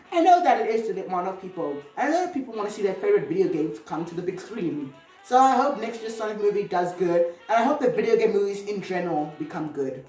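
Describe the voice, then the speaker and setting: A woman's voice: medium pitch, a little mellow. Echoey, distant-sounding woman doing casual commentary. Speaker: video host. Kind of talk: casual commentary on video game movies. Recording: roomy, echoey, distant mic with music.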